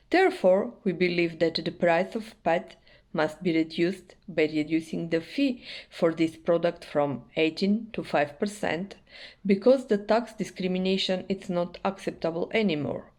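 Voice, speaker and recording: A woman's voice: lowish voice, a little mellow. A woman, a human rights journalist, reading a prepared statement with emphatic rises on key words. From North Macedonia.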